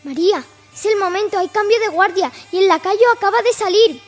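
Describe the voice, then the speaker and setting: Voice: very high voice, a little fast, soft background music. A performer playing a headstrong, curious little princess, bossy and eager, in a radionovela-style radio drama. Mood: eager, defiant.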